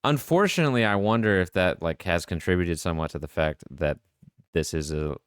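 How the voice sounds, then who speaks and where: A man with an extremely deep, smooth voice co-hosts a podcast. He talks casually and offers opinions with the odd curse, recorded dry without room sound. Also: a little fast, dry recording. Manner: casual swearing. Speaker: podcast co-host reviewing web horror series.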